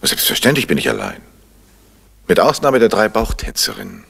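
An actor playing a suave middle-aged crime novelist with urbane, dry irony in a German radio drama from 1970. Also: male voice, lowish voice, huge pitch swings, normal speed, creaky, fairly bright tone, breathy edge, heavily acted. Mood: teasing.

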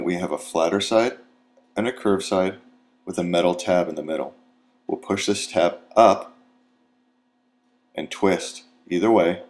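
A man with an American accent and a low-pitched voice narrates an instructional video. He delivers scripted steps methodically, one at a time, as a trainer would. There is faint noise behind his voice. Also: darkish tone. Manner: methodical, step-by-step.

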